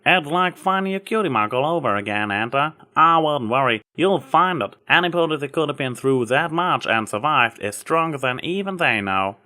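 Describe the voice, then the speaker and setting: Storybook farm pony, female, played by a man, raised pitch, folksy country talk, earnest, frank, protective. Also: lively intonation, moderate pace, hardly any pauses, pushed and bright. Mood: thoughtful, reassuring. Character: warm, earnest, protective farm-girl pony, plain-spoken country mare.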